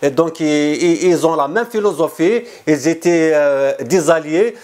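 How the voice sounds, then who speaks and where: A man's voice: fairly high pitch, a little slow, darkish tone. A man speaking as an author in an interview, earnest and insistent, often restarting and repeating words. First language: not French. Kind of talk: explanatory interview answers.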